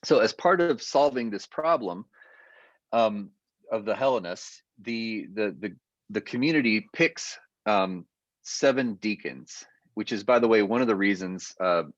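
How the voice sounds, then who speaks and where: A man's voice: lowish voice, lively intonation, measured pace, no room sound, highs cut off. A man giving an adult education lecture, explaining at length and hesitating with frequent fillers, speaking over a video call.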